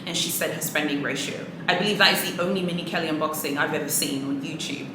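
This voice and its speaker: Low-voiced woman giving chatty vlog advice. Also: fairly even intonation, quick pace, roomy sound, noisy background. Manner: earnest, chatty, motivational, with small laughs and restarts. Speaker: luxury handbag vlogger. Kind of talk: casual to-camera advice talk.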